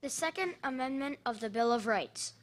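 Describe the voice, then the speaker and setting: High-voiced woman reading formally. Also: lively intonation, normal speed. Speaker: reader. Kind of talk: reading a legal text aloud at a public event.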